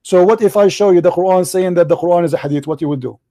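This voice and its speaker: A man's voice: high-pitched, racing, dark tone, dry recording. A man hosting a heated call-in debate, combative and mocking, speaking English as a second language after Arabic. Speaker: debate show host.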